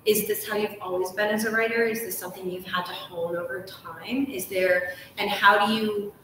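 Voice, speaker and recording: A female interviewer chats in an enthusiastic, friendly and rambling way, at a middle pitch. She sounds distant from the microphone in a strongly reverberant room. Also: steady tone, normal speed, a bit bright. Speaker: host, interviewer. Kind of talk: casual, friendly live interview chat. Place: ornate hotel room, indoors. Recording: distant, echoey room, background noise.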